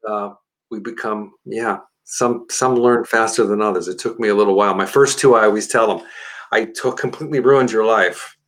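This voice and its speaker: A man's voice: on the low side, fairly even intonation, moderate pace, a bit bright. An older man hosting a casual conversational interview, chatty and often repeating words as he goes. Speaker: interviewer, show host.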